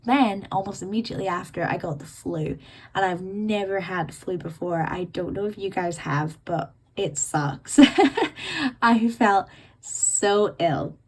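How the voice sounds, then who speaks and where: A woman in her late twenties hosting her own podcast, rambling and self-mocking in casual chat, her voice croaky and bunged up from illness. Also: medium pitch, unhurried. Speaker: podcast host. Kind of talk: casual chatty monologue.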